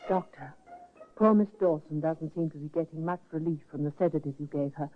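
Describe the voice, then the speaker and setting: A devoted female nurse played by an actress in an old radio mystery. She speaks in a fairly low woman's voice, earnest and worried, through a muffled, phone-like recording. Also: brisk, lightly acted. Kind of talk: 1950s radio mystery acting.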